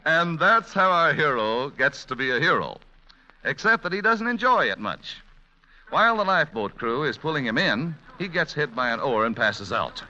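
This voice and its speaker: A man narrates as a 1940s radio announcer in scripted comic style. His voice is fairly high, animated and extremely bright, on a dull vintage recording with some music behind it. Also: moderate pace.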